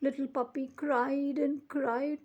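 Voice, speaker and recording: A woman reading a children's picture book aloud as a storyteller, with expressive, deliberate emphasis. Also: sits high, lo-fi sound.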